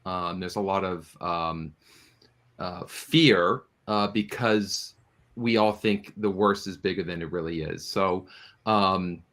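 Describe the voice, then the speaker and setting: Deep-voiced man explaining earnestly. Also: slow, fairly bright tone. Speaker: interview guest, audio drama producer. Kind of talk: earnest conversational interview answers.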